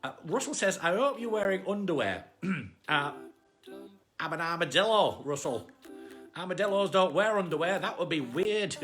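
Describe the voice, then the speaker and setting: A cheerful little armadillo storyteller, put on by a woman, chatting to young viewers on a livestream. The voice is low for a woman and swoops around in an animated, exclaiming way at an easy, slow pace, with music present. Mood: chatty, cheerful. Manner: chatty, exclaiming greetings, giggly. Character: chatty small armadillo called Archie, cheerful children's storyteller. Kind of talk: livestream chat and bedtime story reading.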